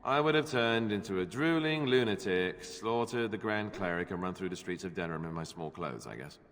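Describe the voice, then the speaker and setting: A wry young warrior in a fantasy game. The voice is deep with a long reverb, and the delivery is sarcastic and self-mocking. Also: male voice, animated, a little fast, a bit bright. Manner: sarcastic, joking. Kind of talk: fantasy video game acting.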